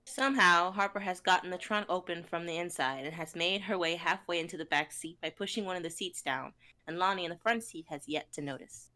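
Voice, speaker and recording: A woman's voice: lowish voice, brisk, pushed and bright. A woman in her twenties reading stage directions and introducing a script as a performer on a group stream. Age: 20s. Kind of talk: scripted narration and casual chat.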